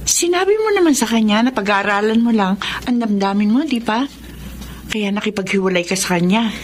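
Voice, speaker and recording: An actress playing a concerned older sister in a radio drama, probing and insistent. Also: female voice, medium pitch, expressive, a little fast, close to an everyday voice. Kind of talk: Tagalog radio drama acting. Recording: noisy radio drama soundtrack.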